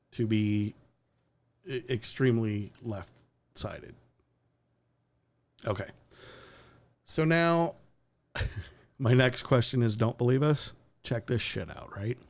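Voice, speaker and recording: A man hosting a live-streamed talk show, talking casually and bluntly with sarcastic asides and swearing. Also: medium pitch. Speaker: podcast host. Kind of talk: casual opinionated chat.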